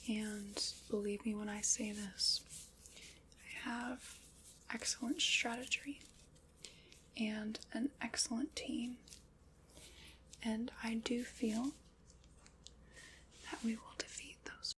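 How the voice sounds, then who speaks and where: Slow, whispering woman in ASMR roleplay. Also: a little high, steady tone, slight background noise, rough recording. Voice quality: breathy whisper. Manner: soft, unhurried, formal and reassuring.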